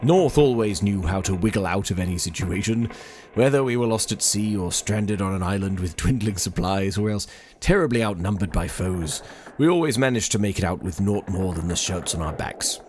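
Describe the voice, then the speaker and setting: A man's voice: sits low, animated, moderate pace, darkish tone, a little hiss or hum. A man reading a game journal aloud as an 18th-century sea captain writing a solemn, formal letter to his daughter.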